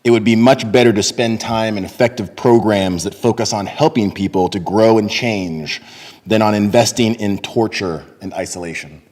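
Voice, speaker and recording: A middle-aged man reads a testimony aloud from a podium. His pitch is fairly low. The room is a large sanctuary with long reverb. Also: moderate pace, darkish tone. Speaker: readers theater performer. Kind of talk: dramatic reading of a first-person testimony. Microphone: podium microphone on a boom. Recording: reverberant hall.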